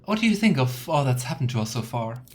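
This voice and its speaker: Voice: medium pitch, sing-song, fast talker, a little mellow. A let's player giving casual, musing commentary on a game and reading dialogue options aloud. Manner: musing, chatty asides.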